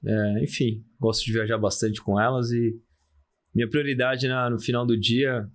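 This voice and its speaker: A man in his thirties with a Brazilian Portuguese accent, a podcast guest talking in a calm, halting way with many restarts. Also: mid-range voice. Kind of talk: casual interview talk.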